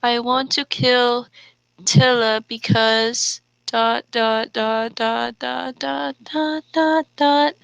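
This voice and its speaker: A woman co-hosting a comedic read-aloud, halting over the text and adding exasperated asides. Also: a little high, steady tone, vocal fry, fairly bright tone, clear, resonant tone, no room sound, muffled recording. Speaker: co-host reading fan fiction aloud.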